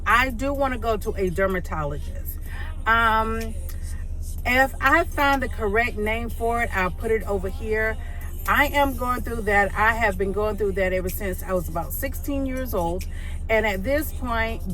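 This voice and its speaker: A woman's voice: medium pitch, a bit bright. A woman of fifty talking casually to her viewers, chatty and calling them guys, with lots of ums. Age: 50s. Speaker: vlogger. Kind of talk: casual vlog talk to camera. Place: in a car, driving to appointment.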